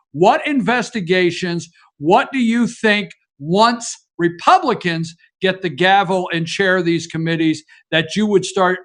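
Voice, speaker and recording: Moderator, man, quite high pitched voice, direct questions. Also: fairly bright tone. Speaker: debate moderator. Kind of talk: moderating a political debate.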